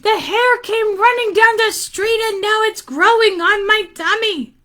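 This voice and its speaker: A woman's voice: extremely high pitch, a little slow, bright, forceful tone, voice pushed up, heavily acted. A woman reading a storybook as a panicked, wailing lady. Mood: distressed. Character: panicked lady yelling for help.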